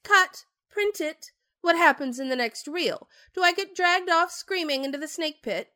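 A woman's voice: very high-pitched, normal speed, bright, forceful tone. A woman playing a proud, sardonic forty-year-old stage actress in an audio drama, with theatrical delivery. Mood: biting, arch.

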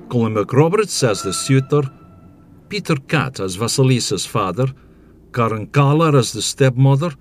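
A man serving as narrator, reading scripted audio drama narration in a storytelling style. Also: mid-range voice. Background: music.

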